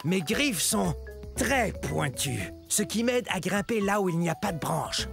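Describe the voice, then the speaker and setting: A man's voice: high voice, very animated, measured pace, vocal fry, over music, exaggerated voice. A man voicing a proud, secretive little meat-eating dinosaur in a children's cartoon, confiding in a dramatic, self-important way. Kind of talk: animated children's educational cartoon monologue.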